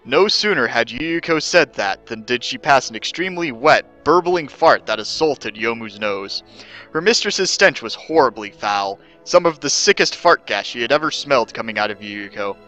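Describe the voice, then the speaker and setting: A man's voice: medium pitch, expressive, normal speed, sharp tone, faint music behind, rough recording. A man giving a mock-serious dramatic reading of comic fan fiction as its narrator.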